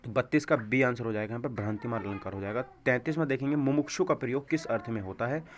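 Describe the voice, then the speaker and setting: Male coaching instructor for quiz walkthroughs, repetitive question-and-answer delivery, dull low-fidelity recording. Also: quick pace. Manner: repeats answers, poses rhetorical check questions. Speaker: exam coaching teacher. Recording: dull, lo-fi microphone sound.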